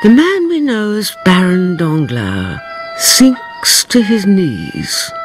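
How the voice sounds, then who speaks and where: A woman playing the narrator of a radio drama, telling it in a deliberate, suspenseful, theatrical manner. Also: mid-range voice, very animated, soft background music. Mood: grave, dramatic. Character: grave, dramatic female storyteller narrating revenge.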